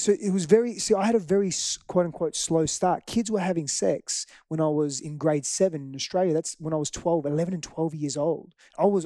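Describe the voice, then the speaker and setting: A man's voice: fairly high pitch, dark tone, no echo, studio quality. A middle-aged man from Greece, whose first language is Greek, speaking candidly and earnestly about his past as an interview guest. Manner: reflective, earnest, frequent restarts. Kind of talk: candid personal storytelling.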